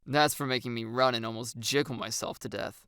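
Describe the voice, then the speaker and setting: An audiobook narrator tells a first-person story and acts out the lines with wry expression. The voice has a noticeable creak and sits close, with no room sound around it. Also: medium pitch, steady tone, fairly quick, a bit bright, no echo.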